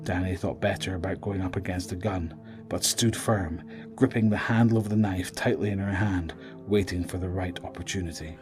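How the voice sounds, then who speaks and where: A man's voice: sits low, steady tone, moderate pace. Man narrating horror drama over music.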